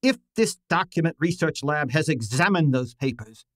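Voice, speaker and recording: A man playing a pompous, imperious middle-aged genius detective, precise and deliberate, in an old-time radio drama style. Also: a little high, lively intonation, fairly bright tone, no room sound, studio quality. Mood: imperious, exasperated.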